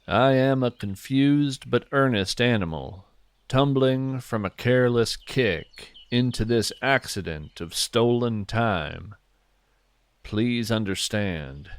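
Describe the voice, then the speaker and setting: Slow, low-pitched man narrating with long reverb. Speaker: podcast host and narrator. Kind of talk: scripted narration of whimsical nature fiction and poetry.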